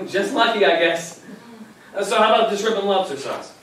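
A man's voice: high voice, normal speed, a bit bright. A young man acting an anxious, patient grandson who also narrates his memories in a live stage play. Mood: amused. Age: young adult. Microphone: far camera in the audience. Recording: echoey small theater, distant camera, faint hiss.

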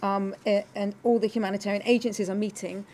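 A woman's voice: medium pitch, little pitch movement, quick pace, a little mellow, slight background noise. A middle-aged British woman giving formal panel remarks as a government development official. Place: conference room, indoors.

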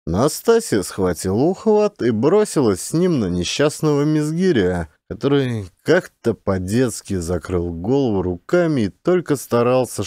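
A man's voice: mid-range voice, darkish tone, no room sound, studio quality. A man reading a scripted literary story as an audiobook narrator.

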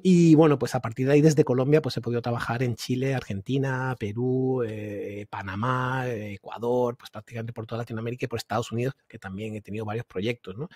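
A middle-aged man from Seville with a Spain accent and a husky voice, talking casually and chattily as an interview guest, often digressing and adding tag questions. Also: mid-range voice, steady tone, a little fast, hardly any pauses, a little mellow, dry recording, clean studio sound. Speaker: podcast guest, agile consultant. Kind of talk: casual reflective interview talk. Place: indoor room, video call. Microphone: large microphone close to mouth, earphones.

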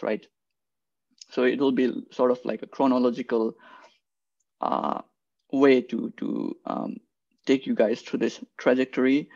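A young man from Nepal presents slides over a video call. His voice is gravelly and sits at a middle pitch, and the call audio is dull and lo-fi. He talks hesitantly, repeats himself, and keeps checking in with "right?". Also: a little mellow. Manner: hesitant, repeats words often, adds "right?" as a tag. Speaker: guest speaker, quantum engineer. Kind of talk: presenting slides in an online talk. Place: indoor room, video call. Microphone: computer mic on a video call.

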